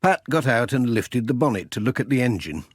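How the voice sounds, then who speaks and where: A man narrating a children's story as an audiobook reader, with expressive storytelling delivery. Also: mid-range voice, fairly quick, slightly rough, no echo.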